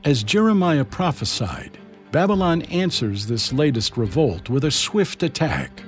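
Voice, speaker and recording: Male story narrator, mid-pitched and expressive, dramatic scripted delivery for children over faint music. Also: measured pace.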